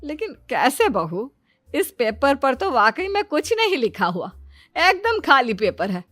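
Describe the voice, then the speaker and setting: Woman doing a curious cartoon saas, middle-aged, highish voice, very up and down, always asking. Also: sing-song, measured pace, fairly bright tone, no echo, clean studio sound, heavily acted. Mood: puzzled. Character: middle-aged mother-in-law, curious, admiring and caring. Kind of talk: cartoon family story acting.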